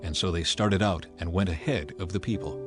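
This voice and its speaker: A man reading scripture as a dramatized narration, in a solemn, measured storytelling way. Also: low voice, a bit bright, soft background music. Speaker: Bible narrator.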